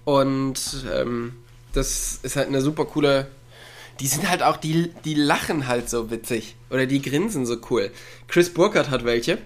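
A man co-hosting a relaxed conversation from home over a remote call. He rambles through his thoughts with plenty of fillers. He chuckles often as he talks. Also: medium pitch, measured pace. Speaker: podcast co-host. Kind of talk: casual chat. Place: at home, with a dog nearby.

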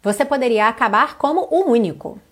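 A woman from Brazil teaching an online English lesson, reading lyrics slowly and carefully and then translating them. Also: fairly high pitch, animated.

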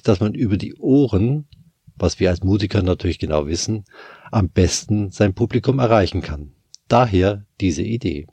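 Bavarian man hosting, husky low voice. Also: mellow, no room sound. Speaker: podcast host, orchestra violist. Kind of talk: scripted intro then casual chat.

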